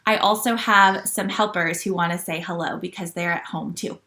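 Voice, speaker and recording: Bright-voiced woman leading children's storytime. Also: fairly low pitch, steady tone, moderate pace, reverberant. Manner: gentle teacher talk, asking children questions. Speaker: children's church storytime leader. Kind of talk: read-aloud storytime for young children. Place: at home.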